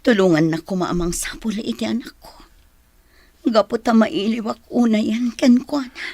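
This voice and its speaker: A longing, determined mother in an Ilocano radio play. Her voice is lowish and breathy, close and dry, with an Ilocano accent. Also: fairly even intonation, unhurried, a little mellow, dry recording. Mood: pleading. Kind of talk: Ilocano radio drama acting.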